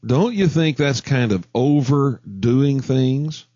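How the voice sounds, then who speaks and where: A man's voice: mid-range voice, slow pace, warm tone, dry recording, muffled recording. A man hosting a talk radio call-in show, blunt and opinionated.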